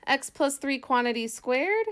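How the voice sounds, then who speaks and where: A woman's voice: sits high, animated, a little slow, fairly bright tone. A woman math teacher giving an informal lesson, thinking aloud as she explains worked examples step by step in a casual way.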